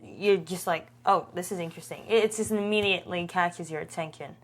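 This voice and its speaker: A woman's voice: on the low side, a little fast. A woman co-hosting a podcast, chatting casually and informally as she reviews a series. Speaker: podcast co-host. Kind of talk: casual chat reviewing a web horror series.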